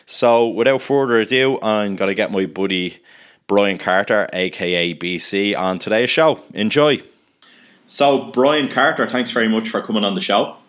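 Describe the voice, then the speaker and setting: A man's voice: fairly low pitch, moderate pace, a bit bright. A man hosting a casual podcast interview, chatty and reading news items aloud. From Ireland. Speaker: podcast host. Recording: echoey room.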